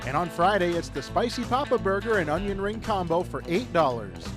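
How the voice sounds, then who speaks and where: A man's voice: high-pitched, very animated, brisk. A man with an American accent reading a scripted radio advertisement as an announcer. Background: music.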